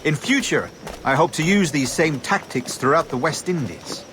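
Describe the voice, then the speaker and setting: An actor playing a refined, ruthless British naval captain in a video game, speaking in a clipped, formal, haughty way. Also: male voice, fairly high pitch, expressive, normal speed, noisy background, close to an everyday voice. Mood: coolly proud. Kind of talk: video game cutscene acting.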